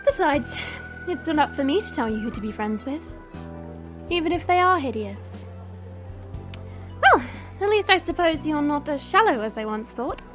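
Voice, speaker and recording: A woman's voice: high-pitched, lively intonation, normal speed, a bit bright, faint music behind. A woman playing a proud, guarded dragon princess in human form, speaking in a formal, imperious way in an audio fantasy drama. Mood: matter-of-fact, dismissive.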